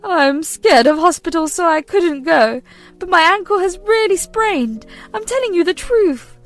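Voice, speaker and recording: A woman doing a shrill scheming mother-in-law. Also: lively intonation, unhurried, no echo, soft background music. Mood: shrill pleading. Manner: shrill, accusing, melodramatic. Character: shrill, manipulative older mother-in-law, whining.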